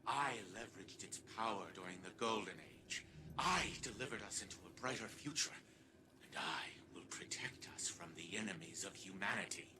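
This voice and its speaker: A man's voice: fairly low pitch, normal speed, background noise, natural voice. A man playing an arrogant, manipulative old genius in a video game cutscene, speaking pompously and imperiously. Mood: urgent, imperious. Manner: imperious, self-justifying, pompous. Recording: loud ambient effects behind voice.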